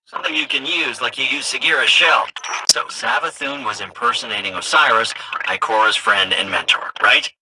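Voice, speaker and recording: A man playing an excitable, cheeky game sidekick and guide who talks casually and stammers when flustered. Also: medium pitch, moderate pace, background noise, lightly acted. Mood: wry, unsure. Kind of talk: video game character dialogue.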